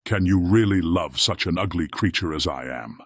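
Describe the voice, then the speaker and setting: An actor playing a gentle, weakened, lovelorn enchanted beast in a fairy tale audiobook, speaking faintly. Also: male voice, deep voice, lively intonation, moderate pace, husky, dry recording, studio quality, heavily acted. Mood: faint, tender.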